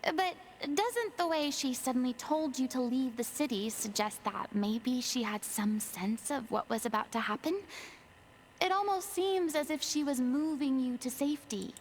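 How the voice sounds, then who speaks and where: High, theatrical young ex-goddess. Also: female voice, normal speed, a little hiss or hum, low-quality recording. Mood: earnest. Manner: dramatic, affected, flustered and haughty by turns. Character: theatrical, smug young woman, a powerless former deity. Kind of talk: video game voice acting.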